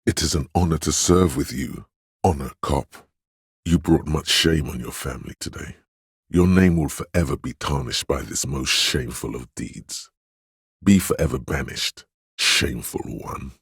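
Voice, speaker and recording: An actor voicing a solemn, grandiose inner voice of authority in a video game, speaking in a pompous, ceremonious and deliberate manner. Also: male voice, very deep voice, husky, no echo, clean studio sound, exaggerated voice. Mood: stern disdain. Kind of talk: scripted game voice lines, theatrical narration.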